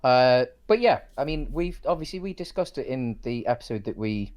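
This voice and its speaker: Mid-pitched man in tentative casual chat. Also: expressive, normal speed, a little mellow. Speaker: co-host of a film discussion show.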